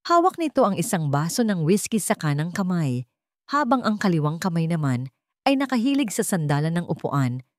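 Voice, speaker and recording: A woman's voice: low-pitched, darkish tone, dry recording, clean studio sound. A woman with a Filipino accent narrating a scripted love drama with dramatic, emphatic phrasing. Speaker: storyteller.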